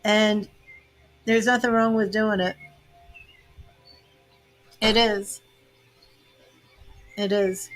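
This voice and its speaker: An American woman in her fifties hosting a live tarot reading, chatty and casual, laughing often and filling pauses with "um". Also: medium pitch, level tone, fairly bright tone, faint music behind. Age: 50s. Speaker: tarot reader hosting a livestream. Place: home with grandchildren and birds in earshot.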